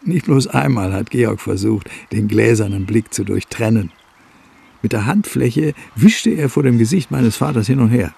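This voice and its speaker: An actor playing a brooding man looking into his brother's past, speaking in a measured, reflective, literary way in a radio play. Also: medium pitch, lively intonation, vocal fry, warm tone, husky, heavily acted. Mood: bitter recollection. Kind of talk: radio play narration and dialogue.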